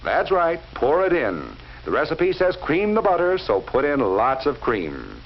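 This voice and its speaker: 1940s film narrator, man, lively lo-fi. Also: a little high. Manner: measured, instructive, addresses the viewer directly. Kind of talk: scripted educational film narration. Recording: dull lo-fi 1940s film soundtrack with faint hiss.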